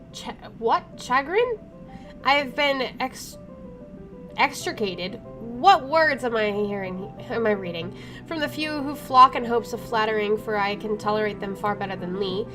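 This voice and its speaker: Wry female gamer, slightly high voice. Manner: wry, informal, self-interrupting. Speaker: gaming streamer. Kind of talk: let's play, reading game text aloud with casual asides. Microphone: microphone placed to one side. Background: game music.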